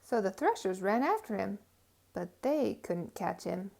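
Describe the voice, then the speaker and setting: A woman storyteller reading a children's tale aloud with expressive, emphatic phrasing. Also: medium pitch, unhurried, gravelly.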